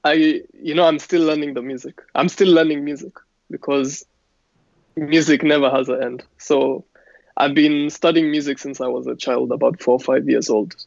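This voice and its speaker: A man with a gravelly, level voice that barely rises or falls. He answers as a musical guest in an easy, unhurried way. The audio is dry and dull. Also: fairly high pitch, no room sound. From Kenya. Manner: relaxed, unhurried, laughs easily. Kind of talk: casual interview answers.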